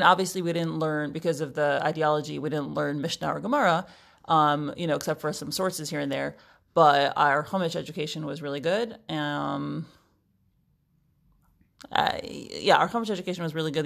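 Very creaky-voiced New woman, playful and articulate. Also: sits low. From Brooklyn, New York, United States. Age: 30s. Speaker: podcast co-host. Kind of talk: casual chat.

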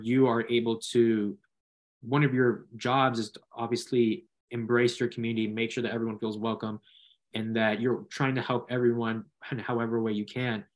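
A man from the United States hosting a casual interview, with wordy, roundabout phrasing. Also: medium pitch. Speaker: interview host.

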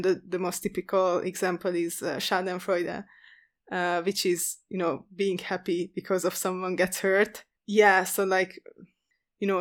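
A woman talking in an academic discussion, chatty and quick to restart her sentences. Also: fairly low pitch. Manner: chatty, many fillers and restarts. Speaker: podcast co-host.

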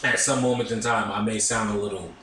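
A man's voice: fairly low pitch, fairly even intonation, quick pace, sharp tone, echoey room, a little hiss or hum. A young man co-hosting a podcast, laid-back and dry as he jokes around. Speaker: podcast co-host. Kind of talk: casual chat.

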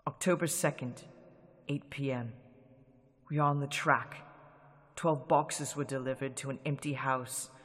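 A man playing a hushed young journal writer. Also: medium pitch, steady tone, unhurried, pauses often, husky, big-room sound. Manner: journal-entry narration, hushed and tense. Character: young male clerk writing a journal, anxious. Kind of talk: radio drama acting.

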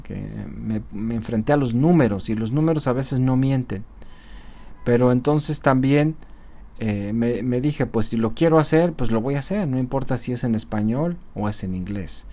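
A man's voice: medium pitch, dark tone. Chatty man giving pep talk. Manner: conversational, keeps adding tag questions and hesitation fillers. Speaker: social media marketer and YouTuber. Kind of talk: casual motivational monologue.

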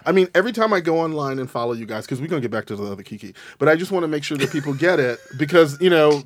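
A man's voice: on the high side, lively intonation, very fast. A man hosting a casual studio chat, flamboyant and exclamatory, with theatrical asides. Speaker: host.

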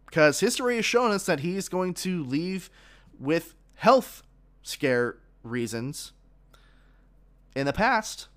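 A man's voice: sits high, big pitch swings, takes it slowly, pauses often, a bit bright. A man hosting his own sports podcast, giving opinions with many fillers and restarts, a little congested from a frog in his throat.

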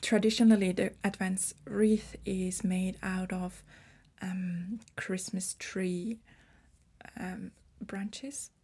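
Slow, breathy woman podcaster. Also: mid-range voice, steady tone, dark tone. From Switzerland. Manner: hesitant, careful wording with self-corrections. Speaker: podcast host. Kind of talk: solo podcast explainer.

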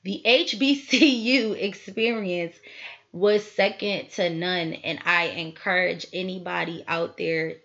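A woman's voice: on the low side, steady tone, slow pace, a bit bright, dull audio. A woman, hosting a casual solo podcast, chatty and full of asides to her listeners. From Philadelphia, USA. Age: 20s. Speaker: podcast host.

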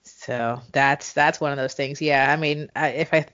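Brief: thirties woman, podcast co-host, very low voice, frank and laughing, dull lo-fi audio. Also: fairly even intonation, normal speed, fairly bright tone, no room sound. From Jamaica. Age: 30s. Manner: candid, laughing, frequent swearing. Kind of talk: casual chat.

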